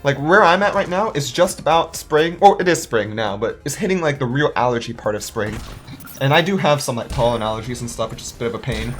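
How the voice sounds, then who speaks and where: A man's voice: medium pitch, expressive, fairly quick. A man hosting a gaming channel, chatting casually and thinking aloud as he works out his moves and counts up his dice. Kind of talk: let's play commentary.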